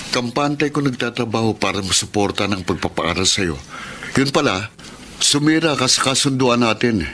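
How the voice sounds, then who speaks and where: A man's voice: mid-range voice, sharp tone, slight background noise. A man playing a stern, controlling older brother in a Filipino radio drama, with a Filipino accent.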